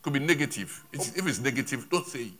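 Emphatic, bright-voiced male preacher. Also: medium pitch, lively intonation. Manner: emphatic, repetitive, pauses for interpretation. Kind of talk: sermon with an interpreter. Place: church.

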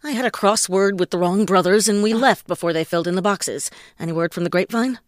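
A woman playing a hard-boiled, wisecracking private detective in a noir comedy audio drama, deadpan and sarcastic. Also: mid-range voice, quick pace, no echo, studio quality, lightly acted. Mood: sarcastic, irritated. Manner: deadpan, sarcastic, pun-laden noir delivery.

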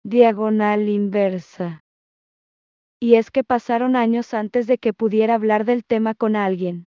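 A woman reading a text aloud phrase by phrase, then repeating each phrase slowly and calling out the punctuation marks. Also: mid-range voice, steady tone, clear, resonant tone, no echo, dull audio.

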